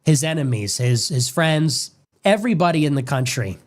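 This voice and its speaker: Man, slightly high voice, solemn, preacherly cadence, grave monologue, played-back stream. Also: lively intonation, normal speed. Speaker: political commentator. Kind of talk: solemn monologue on death and faith.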